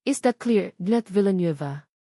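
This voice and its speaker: A woman's voice: medium pitch, lively intonation, measured pace, dark tone, no room sound, clean studio sound. A woman voicing a commanding rich male boss who speaks curtly and firmly. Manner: curt, firm, mixes English in.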